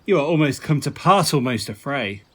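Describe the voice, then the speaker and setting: An actor playing an urbane, commanding and teasing prince in a Shakespearean radio play, with theatrical, playful emphasis. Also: male voice, fairly high pitch, expressive, moderate pace, a bit bright, husky. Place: home recording.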